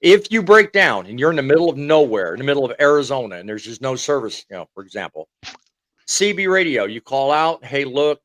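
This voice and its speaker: A man hosting a live casual panel chat, a former truck driver telling stories with animated delivery. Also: mid-range voice, brisk, no echo, low-quality recording.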